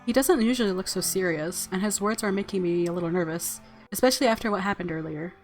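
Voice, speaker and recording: A woman's voice: medium pitch, fast talker. A woman game streamer reading a visual novel aloud and reacting casually. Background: faint game music.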